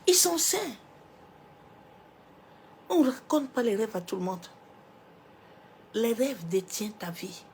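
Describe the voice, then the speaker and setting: A woman pastor with a cold, preaching a sermon. She swings from loud, emphatic declarations to soft, near-whispered asides and drops little rhetorical interjections as she goes. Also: on the high side, very animated, slow pace, long pauses, a little hiss or hum. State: has a cold, coughing.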